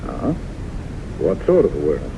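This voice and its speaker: An actor playing a courteous, skeptical psychiatrist in a 1970s radio drama, with measured, formal questioning. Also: male voice, a bass voice, wildly swooping pitch, heavily acted. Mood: probing. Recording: noisy, muffled 1970s radio broadcast recording.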